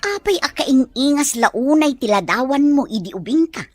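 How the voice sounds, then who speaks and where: A woman's voice: high-pitched, expressive, moderate pace, darkish tone, soft background music. A woman playing a gossipy, admiring household maid in an Ilocano drama, deferential yet nosy as she talks. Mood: curious, admiring. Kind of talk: Ilocano radio-style drama acting.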